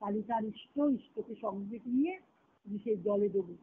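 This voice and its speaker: A woman's voice: mid-range voice, fairly even intonation, brisk, breathy edge. The voice comes thin and boxed-in over a phone conference line. A woman speaks as a spiritual teacher giving a devotional discourse. She explains in a reflective way, returning to key phrases and repeating them. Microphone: phone conference call. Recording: tinny narrowband conference-call phone line.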